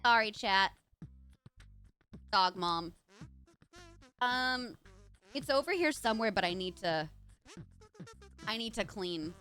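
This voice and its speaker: Chatty young woman streamer, bright and lively. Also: medium pitch, slow, pauses often, smooth, soft background music. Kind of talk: casual live-stream commentary.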